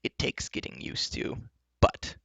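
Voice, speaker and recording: A man voicing a plump, cheerfully menacing old enchantress from a storybook, coaxing and indulgent as she calmly threatens. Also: a little slow, dry recording, natural voice. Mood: soothing, menacing. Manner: kindly, coaxing, matter-of-fact about threats. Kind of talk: storybook character reading.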